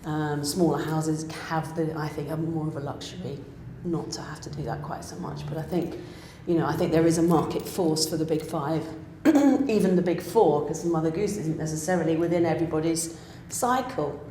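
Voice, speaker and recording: A woman's voice: deep voice, steady tone, moderate pace, few pauses, dark tone. A middle-aged British woman who writes and directs pantomime, speaking in an interview in a reflective way with many restarts. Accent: British English. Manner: reflective, with restarts and self-questioning. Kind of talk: interview chat. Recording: echoey room with faint noise.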